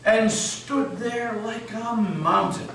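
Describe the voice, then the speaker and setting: A man's voice: very high-pitched, takes it slowly, vocal fry, fairly bright tone. A man narrating a scripted dramatic story reading, theatrical and solemn in delivery. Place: old meeting house, live performance. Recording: very echoey hall, distant mic, faint noise.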